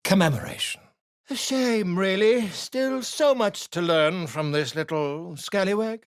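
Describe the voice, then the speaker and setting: A man's voice: high voice, unhurried, smooth. An actor playing a flamboyant, chatty male bard in a video game, theatrical and self-important in his flourishes. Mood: grandiose. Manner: theatrical, grandiloquent, toast-giving flourish.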